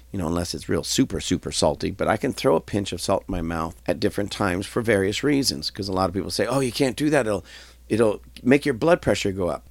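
A man hosting his own podcast, nasal and stuffed up from a cold, talking in a rambling, folksy way and often clearing his throat. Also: on the low side, no echo. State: congested, nasal. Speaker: podcast host. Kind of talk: casual solo podcast talk.

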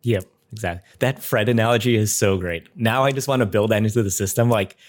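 A man with a somewhat low voice hosts a podcast in a loose, conversational way. He sounds keen and uses filler words often. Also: a little fast. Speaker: podcast host.

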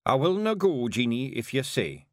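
A man voicing a tiny, fierce male pixie clan chief with a gruff, blustering Scottish accent. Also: mid-range voice, big pitch swings, normal speed, dry recording, clean studio sound, pitched above the performer's own voice, close to an everyday voice. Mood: sheepish. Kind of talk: audiobook character voice.

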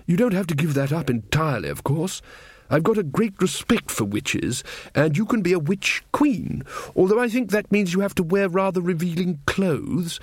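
A pedantic, self-satisfied young king who stumbles over his words while explaining everything, put on by a male reader. He sits a little higher than a plain voice. The recording is dry and close. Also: no echo. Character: fussy earnest young male king, stammering.

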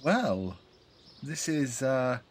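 Woman doing a nervous henpecked grown son in an audio play, low voice, slow n stammery, bit of background noise. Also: sing-song, full character voice. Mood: awkward. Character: timid, mother-smothered grown son, awkward and flustered.

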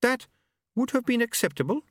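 A man's voice: very high-pitched, big pitch swings, normal speed, slightly rough, dry recording, clean studio sound, pitched above the performer's own voice, exaggerated voice. A man voicing a prim, literal-minded aristocratic lady who talks in formal, precise phrases. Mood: earnest.

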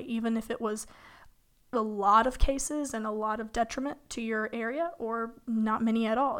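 Hoarse young woman, chatty podcast host. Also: a little high. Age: young adult. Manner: chatty, rambling, with fillers and light laughs. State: hoarse from illness. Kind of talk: casual solo podcast talk.

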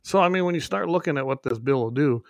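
Gravelly-voiced man on a glitchy call. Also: a little high, animated, fast talker, no echo, studio quality. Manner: rambling with many restarts and fillers. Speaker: podcast co-host. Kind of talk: casual remote podcast chat.

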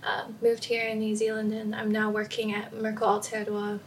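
A young woman from Samoa, a podcast guest talking softly and casually with many fillers. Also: medium pitch, monotone, moderate pace, a little hiss or hum. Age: young adult. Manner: soft-spoken, frequent "um" and "like". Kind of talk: casual interview talk.

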